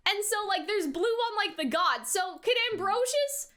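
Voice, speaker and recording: A woman with an extremely high-pitched, bright voice talks through her thoughts in a casual discussion. She is excitable and emphatic, rambling from one point to the next. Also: normal speed. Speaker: co-host of a reaction show.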